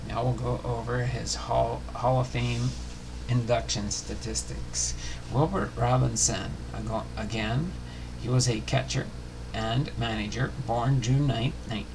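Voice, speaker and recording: Gravelly man reading aloud, noisy recording. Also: mid-range voice, steady tone. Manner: halting reading with stumbles and self-corrections. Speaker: host of a baseball biography series. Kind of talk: scripted biography narration, read aloud. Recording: clearly noisy home recording.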